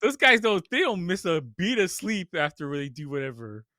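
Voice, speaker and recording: Bright, higher-voiced man talking casually. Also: very animated, moderate pace, dry recording, clean studio sound. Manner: animatedly opinionated, blunt. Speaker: podcast co-host reviewing films. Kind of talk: casual film review chat.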